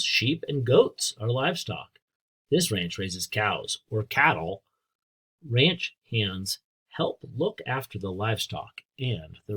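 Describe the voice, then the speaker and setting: A husky-voiced American man reads aloud like a teacher with young pupils. His pace is unhurried and his pitch rises and falls in a lively way. He explains things in simple terms and talks straight to the children. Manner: simple, explanatory, addressing young listeners with questions. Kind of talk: read-aloud lesson with asides and questions.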